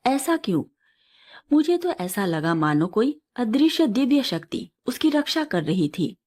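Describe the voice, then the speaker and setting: A woman's voice: a little high, big pitch swings, unhurried, darkish tone. A woman storyteller voicing an earnest, curious male seeker who questions his guru respectfully and formally in a moral tale. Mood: puzzled, reverent.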